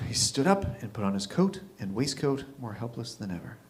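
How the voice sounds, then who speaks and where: A man's voice: mid-range voice, very animated, normal speed. Man reading literary fiction dramatically. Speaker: reader in a readers' theatre.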